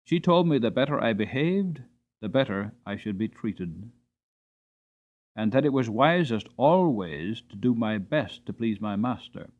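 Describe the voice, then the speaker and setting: Earnest, spirited male horse narrator played by a man, very animated dramatic storybook delivery, slightly muffled recording. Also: mid-range voice, unhurried, close to an everyday voice. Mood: calm, assured.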